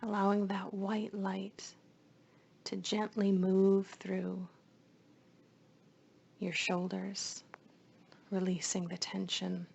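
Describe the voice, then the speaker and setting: A woman leading a guided meditation in a soothing, hushed voice, with phrases often trailing into breath. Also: mid-range voice, completely flat delivery, slow pace, vocal fry, long pauses, husky, lo-fi sound.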